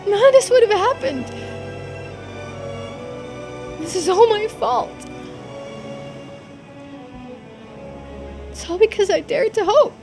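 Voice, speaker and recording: A woman's voice: very high-pitched, pitched above the performer's own voice. A woman voicing an anxious, self-doubting young woman in a visual novel game, talking to herself emotionally. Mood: guilt-ridden. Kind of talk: dramatic voiced reading of visual novel game dialogue.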